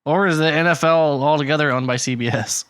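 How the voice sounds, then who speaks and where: A man's voice: on the high side, fairly quick, fairly bright tone, smooth, dry recording. A man co-hosting a casual podcast chat, reading figures aloud and laughing often. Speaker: podcast co-host.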